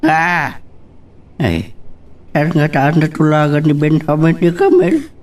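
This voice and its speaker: Casting: actor as apologetic, dodging old father, Ilocano Filipino accent, raised pitch, dragging hesitant delivery, audio drama. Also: very animated, measured pace. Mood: flustered, apologetic. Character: evasive, apologetic elderly father. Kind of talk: amateur audio drama acting.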